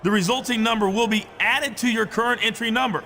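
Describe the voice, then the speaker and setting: Obsequious management sidekick, smug, explanatory, high pitch, video game cutscene, noisy background. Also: male voice, fairly even intonation, brisk, sharp tone. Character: fawning, smug wrestling management lackey. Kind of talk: scripted game dialogue.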